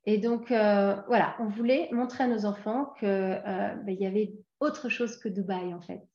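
A woman in her forties from France, a guest talking casually in an interview and pausing often with fillers and restarts. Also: medium pitch, dark tone, reverberant, dull audio. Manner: hesitant, many fillers and restarts.

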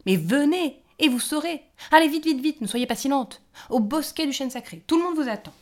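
A woman voicing an excitable, jolly male forest gnome who loudly hurries the others along. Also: moderate pace, husky. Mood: impatient, excited. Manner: shrill, loud, urgent, hurrying others.

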